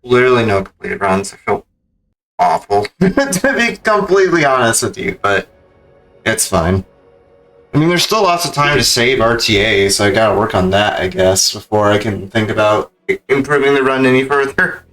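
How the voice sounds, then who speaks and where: A man's voice: medium pitch, sing-song, normal speed, a bit bright, rough recording. A man speaking as a game livestreamer, chatting casually in an informal, rambling, slangy way. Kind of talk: casual livestream sign-off chat. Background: background music.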